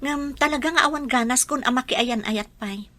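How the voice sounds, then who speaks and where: High-voiced, stubborn Ilocano young daughter. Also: female voice, a little fast, pushed and bright. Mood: firm, resentful. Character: stubborn, heartbroken young daughter who refuses love. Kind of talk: Ilocano radio drama acting.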